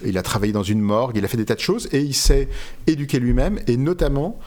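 Man, mid-pitched voice, interviewed author, scholarly, unscripted, hesitant phrasing, self-correcting. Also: a little mellow. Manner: erudite, halting explanations with frequent self-corrections. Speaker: interviewed author and literary expert.

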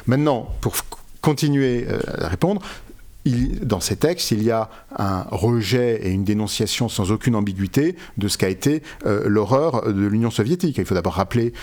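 A man's voice: on the low side, expressive, normal speed, darkish tone. A man speaking as an interviewed author, giving erudite, unscripted explanations with frequent hesitations and self-corrections. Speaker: interviewed author and literary expert.

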